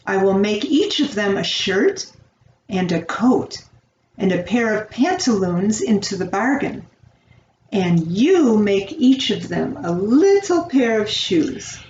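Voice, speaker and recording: Older lady reading as a grateful caring cobbler's wife in a fairy tale, unhurried, echoey and a bit distant. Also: medium pitch, big pitch swings, darkish tone. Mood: earnest, grateful. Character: kind, grateful shoemaker's wife, motherly and practical. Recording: roomy, distant, dull home recording, faint noise.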